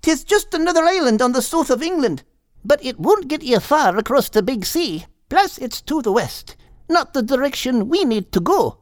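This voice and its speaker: An excitable little storybook bird in a very high voice. The delivery swoops wildly, cheery and affectionate, full of folksy turns of phrase. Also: male voice, normal speed, dry recording, clean studio sound. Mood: helpful. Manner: folksy dialect with terms of endearment. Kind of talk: audiobook character acting.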